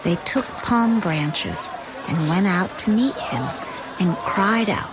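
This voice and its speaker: A woman giving expressive, measured scripted scripture narration for an audio drama. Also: mid-range voice, over music, poor audio.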